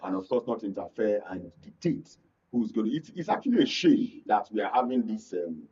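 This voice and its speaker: A Nigerian man argues on a political panel in a husky voice. He speaks haltingly and repeats words to press his point. The sound is dull and a little off the microphone. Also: on the low side, animated, darkish tone. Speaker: studio panel guest. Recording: dull, slightly distant studio sound.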